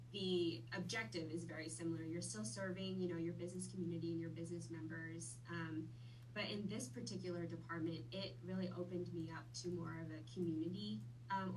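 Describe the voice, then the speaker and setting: A woman's voice: fairly low pitch, recorded from a distance, slight background noise, rough recording. A woman in her twenties, born in Vietnam, chatting easily as a podcast guest with plenty of fillers. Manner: friendly, easygoing, many fillers. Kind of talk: casual interview chat.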